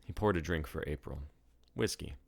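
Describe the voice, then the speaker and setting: A man's voice: a bass voice, moderate pace, vocal fry, dry recording, studio quality. A man reading a story aloud as its author, in scripted narration.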